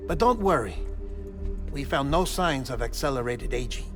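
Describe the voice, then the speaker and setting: An actor playing a wry, caring comrade in a video game cutscene, conversational and explanatory. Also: male voice, mid-range voice, expressive, normal speed, music in the background, natural voice. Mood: reassuring, explanatory.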